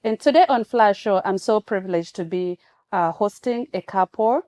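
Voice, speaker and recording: A woman hosting an online talk show, making slow, repeated appeals to her viewers. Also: medium pitch, mellow.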